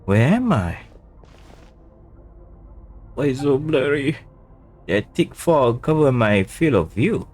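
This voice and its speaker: A male game streamer reading dialogue aloud during a playthrough in a halting, stumbling manner. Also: mid-range voice, big pitch swings, a little mellow, breathy edge, soft background music.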